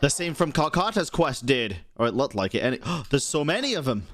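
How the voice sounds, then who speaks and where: A man's voice: medium pitch, sing-song, fairly quick, a bit bright. A man streaming a game, reacting in casual, slangy commentary with swearing.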